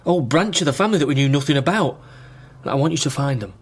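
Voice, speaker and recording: An actor playing an earnest, firm adult son in a naturalistic radio drama. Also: male voice, a little high, expressive, fast, background noise. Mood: stunned, earnest.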